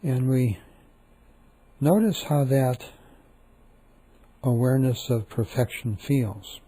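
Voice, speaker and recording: A man leading a guided meditation, speaking calmly and softly with long, repetitive pauses. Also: medium pitch, husky.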